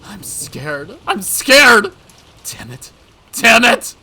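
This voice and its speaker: A man's voice: mid-range voice, sing-song, moderate pace. A man voicing an unhinged male freelance writer in a visual novel, theatrical and volatile. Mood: frightened, angry. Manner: shouting, wailing, taunting, theatrical. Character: unhinged male freelance writer, shifting from panic to cold menace.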